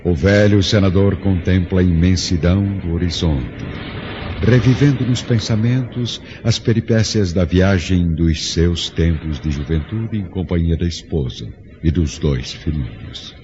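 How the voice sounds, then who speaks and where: Deep-voiced Brazilian male narrator. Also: unhurried, slight background noise. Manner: solemn, literary storytelling. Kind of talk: scripted radio drama narration. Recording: dull recording under loud music.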